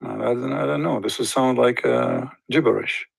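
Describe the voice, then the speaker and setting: A man's voice: mid-range voice, moderate pace, creaky, slightly rough, dry recording, rough recording. A man hosting a confrontational debate, pressing and sarcastic, cross-examining his guest. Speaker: debate host.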